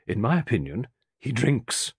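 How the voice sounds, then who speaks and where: Big no-nonsense sister, as a man puts her on, calm and soothing, dry little quips, close dry sound. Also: mid-range voice, measured pace, slightly rough, dry recording, exaggerated voice. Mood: placating. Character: large, formidable, calm woman keeping house.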